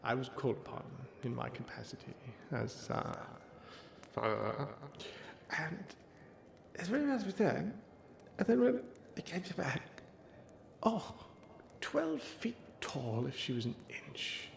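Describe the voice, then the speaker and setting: Old wizard, rambling and befuddled, halting mutter, wildly varied pitch, comic ASMR monologue, echoey with faint noise. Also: male voice, huge pitch swings, gravelly, exaggerated voice. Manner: mumbling, muttering, halting, trailing off into half-heard asides. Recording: roomy echo, faint background noise and ambience.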